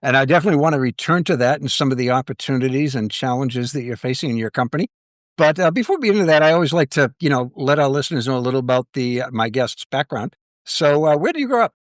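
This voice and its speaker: A man's voice: mid-range voice, expressive, quick pace, dry recording, clean studio sound. A middle-aged man, interviewing in a casual, informal way as a podcast host.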